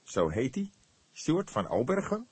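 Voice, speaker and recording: A man's voice: mid-range voice, wildly swooping pitch, measured pace, breathy edge, no echo, pitched above the performer's own voice, full character voice. A man voicing a surly, scornful young police detective in an audiobook, snappish and incredulous. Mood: sceptical. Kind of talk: audiobook character dialogue.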